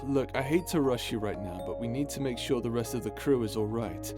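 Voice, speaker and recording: A man's voice: medium pitch, a little fast, lightly acted. Guy doing a space pirate captain, caring and wry, teasing but reassuring, music playing behind him. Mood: brisk, worried. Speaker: voice actor in audio roleplay. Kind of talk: ASMR audio drama roleplay. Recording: music under the voice.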